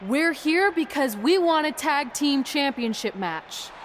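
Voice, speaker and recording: A woman's voice: high-pitched, expressive, a little slow, slight background noise. An actress playing a determined, loyal young woman wrestler, speaking in an earnest, assertive way. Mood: defiant. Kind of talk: scripted game cutscene dialogue.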